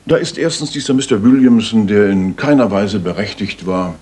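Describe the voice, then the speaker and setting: An actor playing a keen, precise, authoritative gentleman detective in a German radio drama from the 1980s. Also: lowish voice, normal speed, breathy edge. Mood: commanding. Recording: slightly noisy retro radio recording.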